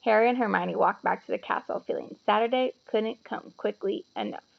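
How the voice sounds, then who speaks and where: A woman reading a story aloud to children in an animated, explanatory way, with chatty asides. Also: mid-range voice, brisk, creaky, lo-fi sound.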